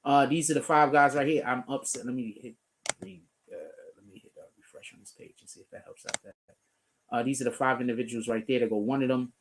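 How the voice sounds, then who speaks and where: A man from the Bronx, hosting a relaxed live stream. He talks loosely and swears freely, thinking out loud as he reacts to news stories and clicks around the screen. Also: medium pitch, fast, a little hiss or hum. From the Bronx, New York. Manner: loose, profane, talks while clicking through pages. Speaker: streamer host. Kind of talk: casual commentary on news.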